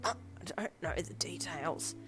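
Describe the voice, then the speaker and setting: A woman's voice: on the low side, moderate pace, fairly bright tone, faint music behind. A woman voicing a nervous, stammering male private investigator in an audio story. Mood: choked, panicked. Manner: hesitant, stammering.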